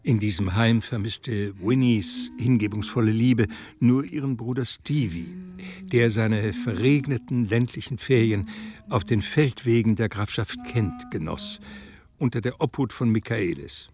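An actor as a calm, omniscient storyteller, reading literary narration deliberately in a German radio drama. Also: male voice, fairly low pitch, music in the background, natural voice. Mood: grave, measured.

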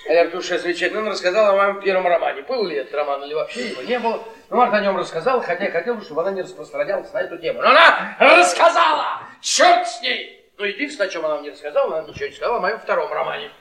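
An actor playing a bitter middle-aged husband who tells cruel, witty stories with theatrical mockery in a Russian radio drama. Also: male voice, high-pitched, lively intonation, rapid-fire, crowd noise. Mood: sardonic. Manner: theatrical, sardonic, taunting. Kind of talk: Russian stage-style radio drama acting. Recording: echoey theatre, distant mic, audience noise.

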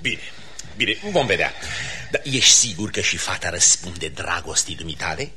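An actor playing a pompous, commanding count with florid, theatrical speech in a radio comedy. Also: male voice, sits low, animated, bright, forceful tone, a little hiss or hum, heavily acted. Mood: condescending.

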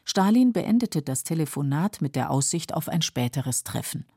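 A woman reading scripted biographical narration in a measured, matter-of-fact way, as a documentary narrator. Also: sits low, sing-song, mellow, breathy edge, no room sound, clean studio sound. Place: dry studio.

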